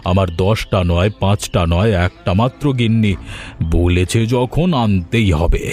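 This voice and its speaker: A man as a low-voiced, doting village husband. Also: a little slow, a little mellow, soft background music, natural voice. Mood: doting, agreeable. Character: indulgent village husband eager to please his wife. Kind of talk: Bengali cartoon voice acting.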